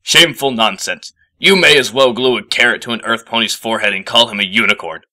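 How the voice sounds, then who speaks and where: Man putting on a pompous, forceful old unicorn professor. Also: mid-range voice, brisk, pitched above the performer's own voice. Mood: indignant. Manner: haughty, imperious, formal. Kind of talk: storybook character voice.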